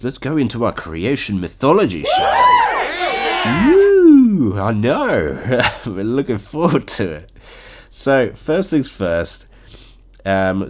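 A man hosting a casual podcast with a blocked nose from a cold, chatty and joking, chuckling often. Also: deep voice. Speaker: podcast host. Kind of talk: casual solo podcast chat.

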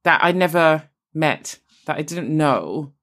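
A woman's voice: sits low, lively intonation, takes it slowly, fairly bright tone. A woman from Barbados hosting a podcast, encouraging and enthusiastic toward her guest. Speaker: podcast host. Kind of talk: casual interview.

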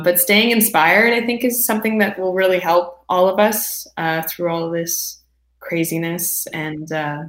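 A woman's voice: on the low side, fairly bright tone. A young woman with a Canadian accent chatting casually as an interview guest from her home. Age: young adult. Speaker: guest, performing artist. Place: indoors at home. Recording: roomy, echoing home sound.

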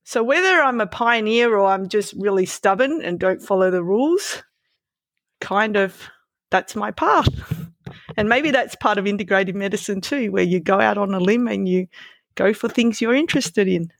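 A woman's voice: medium pitch, animated, normal speed, dry recording. Candid Australian woman, dry close sound. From born in Malaysia, moved to Australia around twelve. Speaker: interview guest, veterinarian. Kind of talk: casual conversational storytelling.

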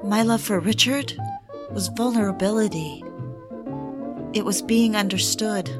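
A yearning woman in love , played by an actress, speaks in a medium-pitched voice with an intimate, reflective manner. It is confessional audio drama, with music clearly playing behind her. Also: measured pace, lightly acted. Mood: wistful, reflective. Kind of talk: audio drama, confessional first-person narration.

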